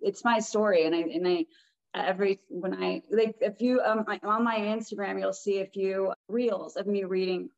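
A woman with a raspy voice, chatting as a guest in an interview, rambling and restarting her sentences. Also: mid-range voice, steady tone, normal speed, a little mellow, muffled recording. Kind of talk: casual interview talk.

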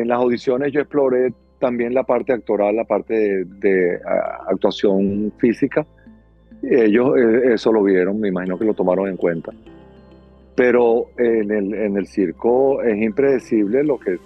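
A middle-aged Venezuelan man recounts his experiences conversationally, slipping into little acted dialogues. The sound is dry and somewhat dull, with clear music playing behind him. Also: medium pitch, no echo. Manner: animated, acting out little dialogues. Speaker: guest, circus performer and dancer. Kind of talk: conversational storytelling in an interview.

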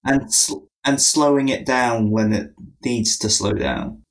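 A man hosting a podcast, asking relaxed questions and murmuring as he listens. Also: medium pitch, slow, low-quality recording. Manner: brief prompts and listening murmurs. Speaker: podcast host. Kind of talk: casual interview questions.

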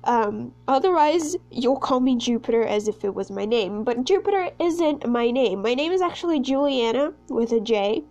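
High-voiced teenage girl podcasting. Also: lively intonation, moderate pace, hardly any pauses, mellow. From Brazil. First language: Portuguese. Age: teens, sixteen. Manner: rambling, chatty, self-conscious. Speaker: podcast host. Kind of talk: casual solo podcast chat.

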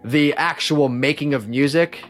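A man's voice: on the high side, measured pace, faint music behind. A man chatting casually as a podcast guest, telling stories about his life as a musician in a slangy, rambling way with frequent false starts.

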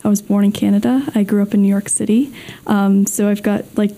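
A woman's voice: mid-range voice, level tone, brisk, mellow. A teenage girl chatting about her family background with many fillers. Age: teens. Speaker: student interviewee.

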